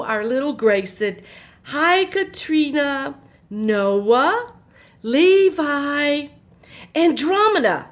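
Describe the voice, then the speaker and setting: A woman hosting a children's story time, reading a Bible story aloud in a gentle, sing-song way. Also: high voice.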